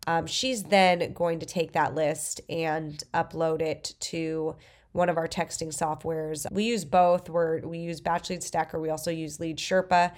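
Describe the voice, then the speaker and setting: A woman with a stuffy nose from the flu, casually talking through a software demo and thinking aloud as she clicks. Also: low voice, flat delivery, measured pace. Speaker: real estate investor. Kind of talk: screen-recorded software walkthrough.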